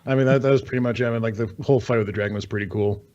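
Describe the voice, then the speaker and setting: A man's voice: medium pitch, fairly even intonation, rapid-fire, darkish tone, dull audio. A man chatting casually about video games as a podcast co-host, rambling, swearing freely and sounding incredulous. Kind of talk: casual video game chat.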